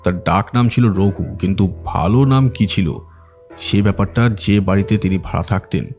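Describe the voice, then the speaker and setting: A man playing a methodical, earnest detective in an audio drama, explaining his reasoning deliberately. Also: fairly low pitch, darkish tone, close to an everyday voice. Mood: matter-of-fact. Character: methodical male detective reasoning through a case, earnest, analytical. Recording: music behind the voice.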